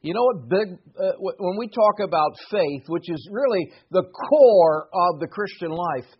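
A man's voice: high voice, animated, measured pace, breathy edge, lo-fi sound. A man in his sixties preaching a sermon in an earnest, emphatic way, driving points home by repeating phrases. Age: 60s.

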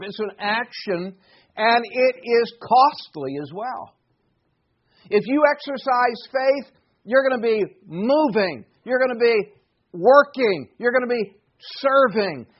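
Male preacher, 60s, high-set voice, earnest and emphatic sermon delivery, slightly dull recording. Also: breathy edge. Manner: earnest, emphatic with repeated phrases.

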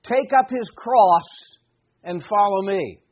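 Man in his sixties, preacher, high pitch, emphatic repetition, earnest sermon, muffled lo-fi sound. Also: slow. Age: 60s. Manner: earnest, emphatic with repeated phrases.

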